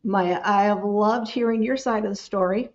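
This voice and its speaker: A woman's voice: medium pitch, fairly even intonation, moderate pace, a little mellow, highs cut off. A woman hosting a podcast, affirming her guest with frequent encouraging murmurs and closing the episode. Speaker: podcast host. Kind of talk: podcast interview and outro.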